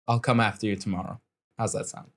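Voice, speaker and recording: A man's voice: fairly low pitch, little pitch movement, fast. A man playing a sly, smug bounty hunter who bargains casually with an old acquaintance in a tabletop game. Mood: smug bargaining.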